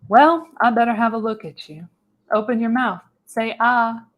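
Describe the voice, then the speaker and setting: A woman's voice: fairly high pitch, normal speed, pitched above the performer's own voice, natural voice. Radio play role: older female seer, folksy, wry, knowing, acted by a woman at a table read.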